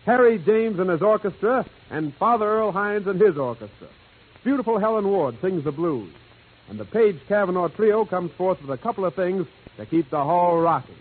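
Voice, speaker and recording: A man's voice: high voice, animated, normal speed. An American man hosting a 1940s radio music show, announcing in showy, jive-talking patter. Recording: old, noisy, narrow-band radio broadcast.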